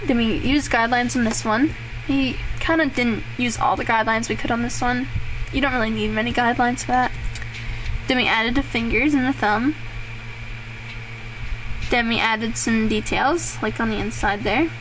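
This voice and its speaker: A young woman talks through a drawing lesson in a slightly high voice, rambling and self-deprecating. Her voice turns a little raspy at times. A clear hiss of noise sits under the home recording. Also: moderate pace. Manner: rambling and self-deprecating, with frequent fillers and laughs. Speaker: drawing tutorial host. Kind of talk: casual drawing tutorial.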